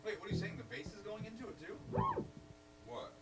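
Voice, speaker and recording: A man co-hosting a live music stream, chatting casually and haltingly while sorting out sound trouble with the gear. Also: flat delivery. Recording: distant, roomy, dull sound with music. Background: guitar and bass playing.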